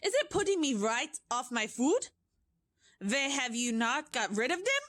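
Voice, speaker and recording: Grand High Witch, as a woman puts her on, screeching. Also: sing-song, no echo, exaggerated voice. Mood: shrieking rage. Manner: shrieking, loud, drawn-out words. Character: furious, tyrannical female witch leader, shrieking. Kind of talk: audiobook character voice.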